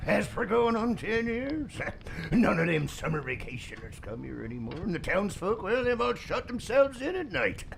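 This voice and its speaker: A gravelly old drunken sailor, telling ominous yarns in a horror audio drama. His salty, theatrical voice sits fairly high and swings widely in pitch. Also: big pitch swings, moderate pace, a little hiss or hum, exaggerated voice. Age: older. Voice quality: gravelly, creaky. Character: drunk old Irish-named seaman, grim tavern storyteller.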